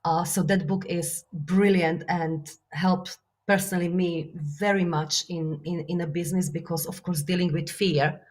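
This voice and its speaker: A woman from Slovakia with a Slovak accent, talking in an interview as a guest about business and books. She speaks earnestly and reflectively, with many fillers and soft laughs. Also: fairly low pitch, steady tone, normal speed. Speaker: guest, business author and coach. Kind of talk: casual interview talk.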